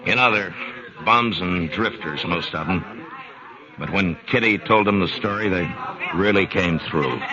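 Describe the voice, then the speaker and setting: An actor playing a calm, firm frontier marshal in a vintage radio drama, plainspoken and measured. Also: male voice, low voice, a crowd in the background. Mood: steady. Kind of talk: 1950s radio drama acting. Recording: dull vintage radio recording.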